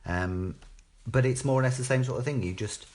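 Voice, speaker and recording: A man's voice: mid-range voice, a little fast, a little mellow. A British man chatting casually and thinking aloud as he hosts a live craft demonstration, often tagging questions onto his remarks. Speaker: craft demo host.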